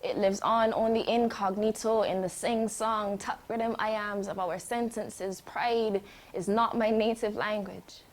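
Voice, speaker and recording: A woman with a Barbadian accent performing spoken word poetry in a rhythmic, dramatic delivery that swings from loud lines to hushed ones. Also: medium pitch, steady tone, unhurried, few pauses.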